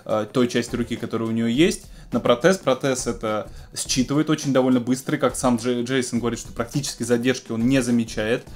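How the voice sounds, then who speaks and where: A man's voice: medium pitch, steady tone, a little fast, few pauses. A man in his thirties casually presenting news to camera in an explanatory, conversational way, as host of a music technology show. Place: small home music studio, indoors.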